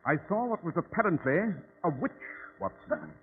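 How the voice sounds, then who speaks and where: A shrewd, grave radio-drama detective with a fairly high, animated voice. His diction is formal and theatrical. The old recording is tinny with some noise. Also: moderate pace.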